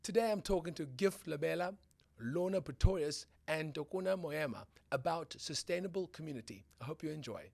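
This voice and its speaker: A man with a South African accent hosting a casual interview, playful and quick to joke. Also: fairly high pitch, animated, creaky. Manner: playful, joking, laughs often. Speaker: host.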